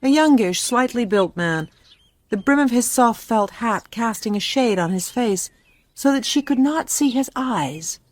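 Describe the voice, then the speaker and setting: A woman's voice: fairly high pitch, expressive, unhurried, no echo. A woman narrating a literary story for a radio play from a script.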